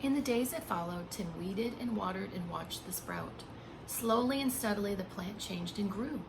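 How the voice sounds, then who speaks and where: A woman with a medium-pitched voice reads a children's story aloud in the manner of a preschool teacher. She tells it expressively, dropping into hushed emphasis and speaking simply and directly to little listeners. There is clear background noise on the recording. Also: normal speed. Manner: simple explanations and questions addressed to small children. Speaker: pre-K teacher. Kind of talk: read-aloud of a children's picture book with chatty asides to young listeners.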